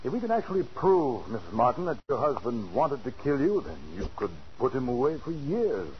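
An actor playing a police officer firmly explaining things, emphatic, in 1940s radio drama style. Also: male voice, medium pitch, very animated, brisk, lightly acted. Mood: firm, patronizing. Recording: muffled, hissy old radio recording.

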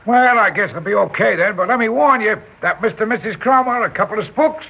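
An actor playing a chatty, gossipy small-town cab driver in 1960s radio drama style. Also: male voice, sits high, animated, quick pace, gravelly, husky, full character voice. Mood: gossipy, wary. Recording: dull, noisy 1960s radio recording.

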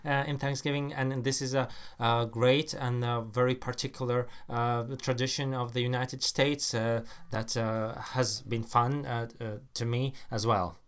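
A man from Latvia, co-hosting a podcast in halting English and pausing on "uh" between phrases. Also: mid-range voice, level tone, a bit bright, clear, resonant tone. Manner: halting, with constant "uh" pauses. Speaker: podcast co-host. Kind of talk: casual podcast chat.

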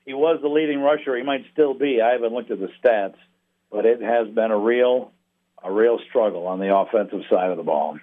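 A man on a thin phone line chatting casually about sports as a guest announcer, in a folksy, rambling, halting way that repeats words. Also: mid-range voice. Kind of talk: casual sports analysis chat. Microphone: phone call.

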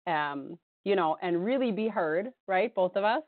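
A woman co-hosting a radio talk show, hoarse with a cold, chatting casually and rambling, often laughing. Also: fairly low pitch, animated, unhurried, dry recording. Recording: tinny phone-line sound.

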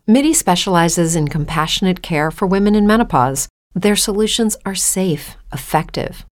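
A woman delivering scripted advertisement narration as a voice-over. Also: lowish voice.

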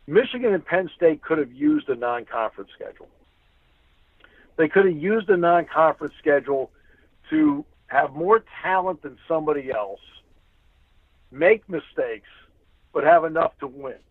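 A man's voice: on the high side, animated, moderate pace, long pauses, no echo. A man who works as a sports announcer, giving conversational analysis as a guest in an emphatic way, repeating phrases for emphasis. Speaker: radio play-by-play sports announcer, interview guest. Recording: tinny narrow phone-like line.

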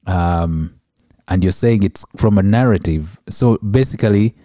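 A man's voice: sits low, measured pace, warm tone, no echo, clean studio sound. A man hosting an interview podcast, thoughtfully asking questions and recapping his guest's points. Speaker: podcast host.

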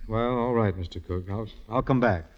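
An actor playing an earnest, wry young songwriter husband in 1940s radio drama style. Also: male voice, fairly low pitch, normal speed, slight background noise, lightly acted. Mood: subdued. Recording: muffled old radio broadcast with loud music.

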